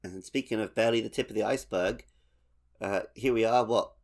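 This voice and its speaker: A man's voice: on the low side, fairly quick. A man co-hosting a podcast, chatting casually about a video game. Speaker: podcast co-host.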